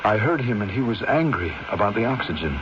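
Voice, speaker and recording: An actor playing a weak, dying, bedridden man, wistful and resigned, speaking faintly and haltingly in 1970s radio drama style. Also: fairly low pitch, sing-song. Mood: dazed, weak. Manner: slow, faint, halting speech. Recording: dull, noisy 1970s radio drama recording.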